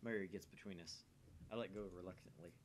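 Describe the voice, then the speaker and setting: A man's voice: low voice, steady tone, fast. A man streaming from indoors, reading a visual novel aloud and chatting casually. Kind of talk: live story reading and casual chat. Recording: faint background noise.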